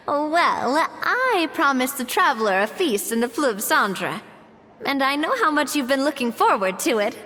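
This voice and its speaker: A high-voiced, lively young hostess. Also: female voice, a little slow, in a large hall, a little hiss or hum, full character voice. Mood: amused, warm. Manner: upbeat, expressive. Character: bright, friendly young woman, generous feast-giving hostess. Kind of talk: video game voice acting.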